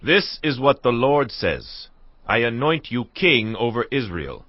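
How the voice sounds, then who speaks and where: A man voicing a stern, defiant army commander who speaks deliberately. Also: mid-range voice, big pitch swings, dry recording. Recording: dull lo-fi audio with music.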